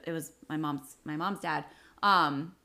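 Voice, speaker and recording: A woman's voice: deep voice, measured pace, pushed and bright. A woman in her late twenties hosting a casual podcast chat, talking loosely with lots of "like" fillers. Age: 20s. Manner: chatty, many "like" fillers. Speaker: podcast host.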